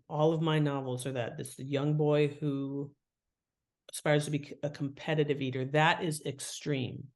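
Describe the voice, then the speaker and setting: A woman talking casually and expressively as an interview guest, slipping into little acted-out asides as she explains. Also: low-pitched. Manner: chatty, expressive, acts out imagined thoughts and asides. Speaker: podcast guest, fiction author and editor. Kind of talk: casual, animated interview talk.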